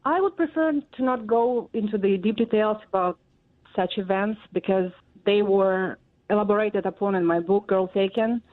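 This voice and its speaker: A woman in her forties from Russia, speaking English with a Russian accent as an interview guest, halting and full of fillers. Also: mid-range voice. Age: 40s. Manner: halting, many fillers and pauses. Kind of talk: interview answers. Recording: tinny phone line.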